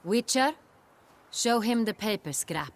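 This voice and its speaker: A slow-spoken, imperious duchess. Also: female voice, mid-range voice, animated. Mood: haughty, measured. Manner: formal, haughty, measured. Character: imperious, poised duchess, regal and exacting. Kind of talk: video game cutscene acting. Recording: faint music behind.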